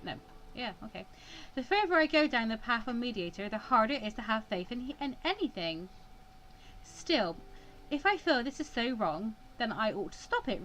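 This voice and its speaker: A woman's voice: a little high, a bit bright, slight background noise, poor audio. A woman playing a visual novel, reading it aloud expressively with casual reactions and the odd stumble over words.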